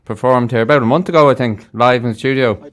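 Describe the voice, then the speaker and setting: A man's voice: mid-range voice, quick pace. A man with an Irish accent hosting a casual live interview show in a radio studio. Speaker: radio host.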